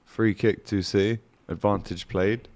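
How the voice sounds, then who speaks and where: A man's voice: lowish voice, fairly even intonation, measured pace, a little mellow. A man, hosting a live football watchalong, casually calling match updates and reading out comments.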